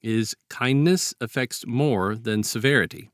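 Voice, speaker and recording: A man's voice: mid-range voice, unhurried, no echo. Rambling male co-host, close dry sound. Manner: rambling, colloquial, acts out short quotes. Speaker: podcast co-host. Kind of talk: casual chat, informal storytelling.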